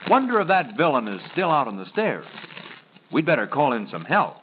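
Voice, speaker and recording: A man's voice: medium pitch, sing-song, moderate pace. A man delivering scripted 1930s film patter in a playful, theatrical, questioning manner. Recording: tinny 1930s film soundtrack, faint noise.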